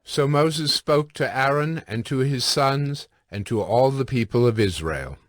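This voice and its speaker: A man narrating scripture from a script in a formal, deliberate manner. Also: mid-range voice, lively intonation, dry recording, clean studio sound. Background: music.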